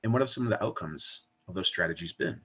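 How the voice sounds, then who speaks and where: A man's voice: deep voice, lively intonation, fast talker, sharp tone, husky. A man moderating a panel, responding briefly with affirmations and putting questions to the panelists.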